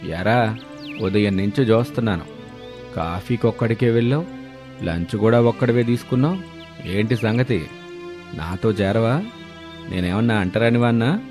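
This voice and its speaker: A man's voice: on the low side, moderate pace, long pauses, over music, close to an everyday voice. A man voicing a pushy, chummy drinking buddy in a moral story, slangy and cajoling. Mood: probing. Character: pushy, chummy male drinking buddy, coaxing his friend. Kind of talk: Telugu moral story dialogue.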